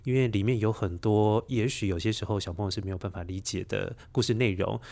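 A man's voice: on the low side, quick pace, creaky, a little mellow. A man with a Taiwanese Mandarin accent, a children's storyteller, explaining reflectively as a podcast guest and repeating words for emphasis. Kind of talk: conversational interview, reflective explaining.

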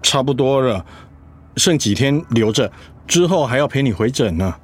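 An actor playing a devoted, worried husband in a radio drama, earnest, affectionate and soothing. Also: male voice, medium pitch, lively intonation, normal speed, husky. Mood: earnest, apologetic. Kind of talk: Mandarin radio drama acting.

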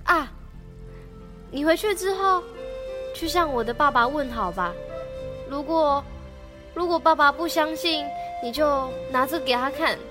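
A woman playing a lovelorn young ghost princess in an amateur radio drama, halting and plaintive, with a Taiwanese Mandarin accent. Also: high-pitched, animated. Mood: tender, subdued. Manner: halting, plaintive, repeats words. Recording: background music under the voice.

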